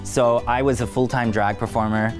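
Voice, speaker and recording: A man, a drag performer, telling stories in an animated, expressive way during an interview. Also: mid-range voice, moderate pace. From South Africa. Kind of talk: casual interview chat. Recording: music playing behind. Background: background music.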